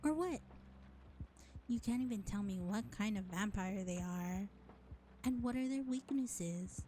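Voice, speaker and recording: A woman's voice: on the high side, dark tone, a little hiss or hum. A woman voicing an exasperated, sarcastic vampire in an audio roleplay, drawling with mockery and scorn. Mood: incredulous, scornful. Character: sarcastic, exasperated, foul-mouthed female vampire.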